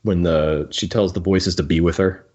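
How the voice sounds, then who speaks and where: Low male voice, casual fan podcast co-host, enthusiastic, dull lo-fi mic. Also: fairly even intonation, normal speed, a little mellow. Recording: dull, lo-fi microphone sound.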